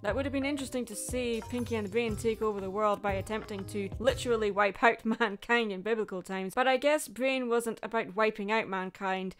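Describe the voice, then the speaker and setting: A woman hosting a scripted video essay, narrating with wry asides. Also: mid-range voice. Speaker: video host. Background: music under the voice.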